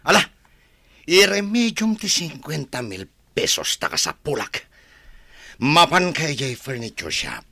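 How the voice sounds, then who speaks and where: Male actor, menacing young schemer, Ilocano accent, radio drama, swaggering, slow delivery, dry close sound. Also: a little high, very animated, vocal fry, pushed and bright, no room sound, full character voice. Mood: demanding. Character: scheming, brash young man, a menacing village troublemaker.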